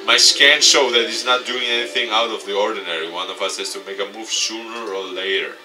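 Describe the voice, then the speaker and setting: Man, lowish voice, dramatic game story read-aloud, expressive, distant mic, music underneath. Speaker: gaming YouTuber. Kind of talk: dramatic read-aloud of visual novel narration.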